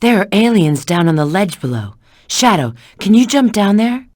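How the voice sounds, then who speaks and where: A cool, flirty female bat treasure hunter from a video game. She gives knowing instructions in a very lively, swooping delivery. The sound is close and dry. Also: mid-range voice, normal speed, dry recording. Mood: urgent, alert. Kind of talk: video game character voice acting.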